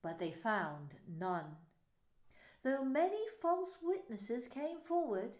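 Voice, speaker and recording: A woman minister narrating scripture in an expressive, deliberate way. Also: fairly high pitch. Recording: echoey room.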